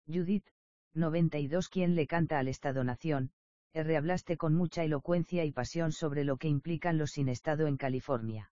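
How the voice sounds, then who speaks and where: A man reading an academic nonfiction text aloud in an even, steady manner, even voicing page numbers and footnote marks. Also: fairly high pitch, no room sound. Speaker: reader of an academic book. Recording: dull, lo-fi dry recording.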